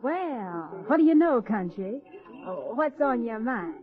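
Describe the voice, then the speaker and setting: A woman's voice: on the high side, very animated, slow pace. A woman acting a sassy, flirtatious city dweller in a radio drama, teasing and slangy. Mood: teasing, amused. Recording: tinny, phone-like old radio recording.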